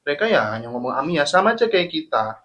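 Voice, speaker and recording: A man with an Indonesian accent hosting a casual podcast, asking questions and calmly summing up the answers. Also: a little high, quick pace, dull audio. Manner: asks questions and sums up calmly. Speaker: podcast host.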